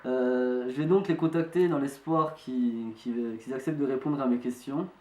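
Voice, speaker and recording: A man playing an amateur investigator, speaking politely and haltingly as he asks questions. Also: medium pitch, mellow, lightly acted. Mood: earnest, hesitant. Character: young male amateur investigator, polite, insistent, hesitant. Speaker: amateur investigator presenting a video. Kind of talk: hesitant spoken phone inquiry. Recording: echoey room.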